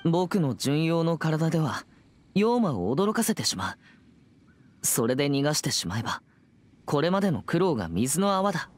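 A man playing an earnest, serious teenage boy exorcist in a Japanese video game, speaking in a formal, measured manner. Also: a little high, sing-song, slight background noise. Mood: serious, cautious. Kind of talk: scripted Japanese game voice acting.

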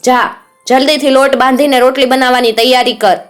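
A woman voicing a cruel old witch who barks orders in a high, shrill voice. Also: steady tone, brisk, soft background music, close to an everyday voice. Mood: scolding. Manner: shrill scolding, barking orders.

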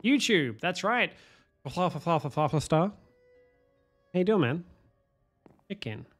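A man, streaming a game from home on a headset, hoarse from a cold, swearing and joking with chat. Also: a little high, very animated, unhurried, faint music behind. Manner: swearing, self-mocking, chatting to viewers. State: hoarse, losing his voice from a cold. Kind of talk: casual live-stream chatter while playing.

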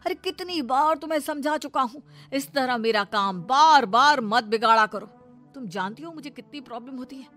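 A woman's voice: sits high, lively intonation, normal speed, sharp tone, faint music behind. A woman voicing an exasperated young husband who complains and reproaches in a family cartoon. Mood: angry reproach. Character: exasperated young husband, an office worker, quick to blame. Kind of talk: animated family drama voice acting.

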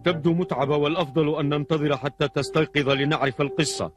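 A man's voice: a little high, fairly even intonation, fast talker, over music, close to an everyday voice. A man playing a sensible, considerate little dwarf in a dubbed cartoon. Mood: thoughtful. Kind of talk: dubbed cartoon character acting.

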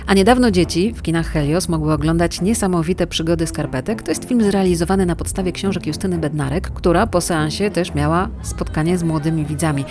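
A woman's voice: fairly low pitch, brisk, hardly any pauses. A middle-aged woman hosting a radio feature, reading scripted narration. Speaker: radio host. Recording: music behind the voice. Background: background music.

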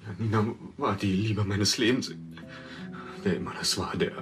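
An actor playing a subdued, bereaved boyfriend being questioned in a TV crime drama, speaking plainly and haltingly. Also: male voice, lowish voice, fairly bright tone, soft background music. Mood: grieving.

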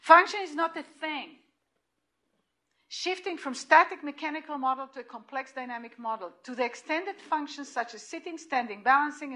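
A middle-aged woman with an Israeli accent, giving an animated lecture in a room, heard from a distant camera. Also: high voice. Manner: animated and emphatic, with restarts and repeated points. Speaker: lecturer at hospital grand rounds. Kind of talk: lecture presentation.

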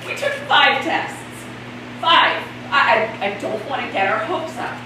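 A woman's voice: high-pitched, lively intonation, a little slow. A woman acting an anxious, hopeful would-be mother in a theatrical stage monologue. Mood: hopeful, nervous. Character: anxious, hopeful woman undergoing fertility treatment. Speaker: stage actress. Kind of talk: theatrical monologue before a live audience. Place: small stage before a seated audience. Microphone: far camera. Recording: echoey noisy stage, distant camera microphone.

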